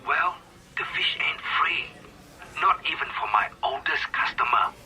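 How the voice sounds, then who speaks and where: Blunt seafood supplier on the phone. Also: male voice, fairly high pitch, expressive, unhurried, noisy background. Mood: blunt, matey. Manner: blunt, matey, slangy. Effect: telephone filter. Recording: phone call with background chatter.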